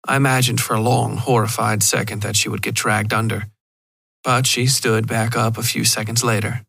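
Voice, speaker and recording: A man's voice: fairly low pitch, little pitch movement, normal speed, vocal fry, a bit bright, breathy edge, dry recording. A man reading scripted first-person horror fiction as a podcast narrator, close to the microphone. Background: faint music.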